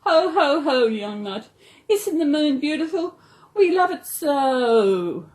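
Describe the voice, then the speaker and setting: Woman putting on a merry Mister Koala, high-pitched. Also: sing-song, slow pace, darkish tone, full character voice. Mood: jolly. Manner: hearty, merry. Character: jolly male koala, delighted moon lover.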